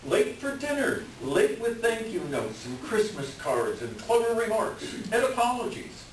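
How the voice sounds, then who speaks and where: Guy playing pleading self-deprecating swindler doctor in a stage play, swoopy high voice, halting. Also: big pitch swings. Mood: rueful. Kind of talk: staged script reading. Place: echoey room, far from the mic. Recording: distant echoey room with hiss.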